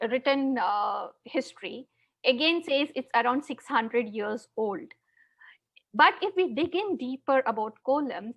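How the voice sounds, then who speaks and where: A woman with a South Indian accent presenting an interactive online lesson, halting and often repeating words as she explains. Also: sits high, a bit bright, rough recording. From Chennai, South India. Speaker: teacher, online conference presenter. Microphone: video call.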